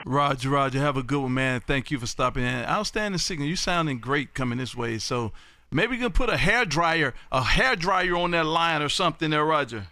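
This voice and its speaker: A man's voice: on the high side, animated, fairly quick, pushed and bright, no room sound. An American man hosting an amateur radio live stream in a folksy, repetitive patter, chatting casually with callers. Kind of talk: lively ham radio live stream chat.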